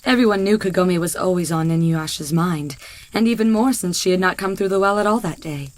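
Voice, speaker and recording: A woman's voice: lowish voice, brisk. A woman narrator reading a scripted story as part of an audio drama.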